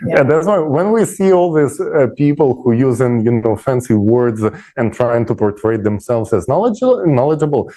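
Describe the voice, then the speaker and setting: Man, mid-range pitch, dark mellow tone, earnest, explanatory panel talk. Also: lively intonation, brisk, hardly any pauses, low-quality recording. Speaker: panel speaker.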